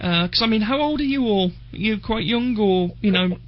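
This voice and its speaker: A man in his thirties hosting a radio interview, asking questions in a friendly, halting way. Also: high-pitched, noisy background. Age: 30s. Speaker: radio host. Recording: muffled lo-fi radio recording.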